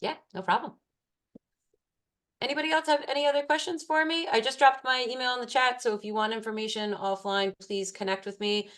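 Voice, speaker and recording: A woman's voice: fairly high pitch, fairly quick, fairly bright tone. A woman, a food safety specialist, answering participants' questions in an online class in a casual way with many fillers.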